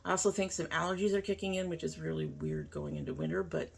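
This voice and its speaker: A woman's voice: sits low, steady tone, quick pace, vocal fry, slightly rough. A woman hosting her own channel in a chatty, informal way, sounding stuffy and sniffly from a sinus cold. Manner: chatty and informal, apologetic asides. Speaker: host. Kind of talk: casual talk-through while doing makeup.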